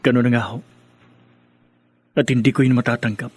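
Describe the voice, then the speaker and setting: An actor playing a resentful, stubborn young adult son in a Filipino radio drama. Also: male voice, medium pitch, level tone, brisk, husky, close to an everyday voice. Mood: bitter, defiant.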